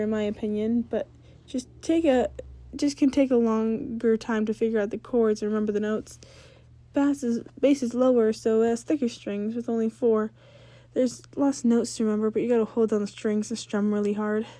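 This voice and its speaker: A woman's voice: a little high, dark tone, no room sound, natural voice. A woman voicing a brash, cocky teenage rock-star ghost girl with blunt, teasing, slangy delivery in a read-aloud story.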